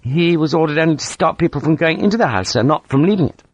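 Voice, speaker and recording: A man's voice: fairly high pitch, lively intonation, fast talker, no room sound, highs cut off, pitched above the performer's own voice. A man voicing a timid young army lieutenant, hesitant and deferential, with a nervous stammer, in an audiobook story. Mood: anxious, hesitant.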